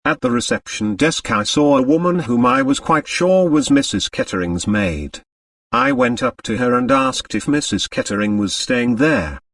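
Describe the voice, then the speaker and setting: A man's voice: medium pitch, big pitch swings, normal speed, creaky, fairly bright tone, no echo, recorded from a distance, heavily acted. A man voicing a deferential male private secretary in an audiobook, polite, tactful and precise. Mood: precise reporting. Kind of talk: audiobook character voice.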